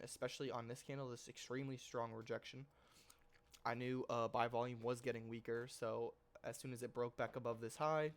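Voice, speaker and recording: A man's voice: mid-range voice, smooth. Stuffy-nosed man rambling through a trade recap. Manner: rambling, loose, self-correcting; slangy and informal, mild swearing. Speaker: trading channel host. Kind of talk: casual screen-share trade recap.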